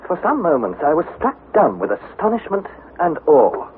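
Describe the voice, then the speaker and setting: Young male adventurer-narrator, formal, highly animated delivery, vintage radio drama, tinny noisy recording. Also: moderate pace. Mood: measured, wondering. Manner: formal, precise, dramatic storytelling. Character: Harry, earnest young adventurer narrating his perilous journey.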